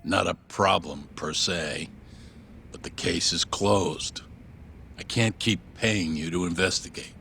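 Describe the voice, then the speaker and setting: A middle-aged male boss in a game dub, wry and matter-of-fact. The voice is deep and full of gravel, measured in delivery, over faint background noise. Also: pushed and bright, heavily acted. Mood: stern, measured. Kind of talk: English video game dub acting.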